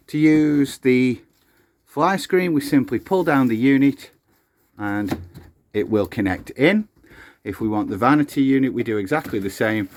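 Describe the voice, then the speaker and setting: A man's voice: lowish voice, a little mellow. A man presenting an instructional video, explaining things step by step in an unscripted, hands-on demonstration.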